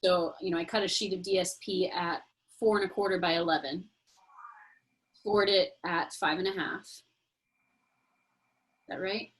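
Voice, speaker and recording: A woman's voice: on the low side, fairly even intonation, moderate pace, pauses often, slightly rough, low-quality recording. A woman teaching a casual online craft class over a video call, explaining steps in a chatty way. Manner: chatty, friendly explaining with fillers.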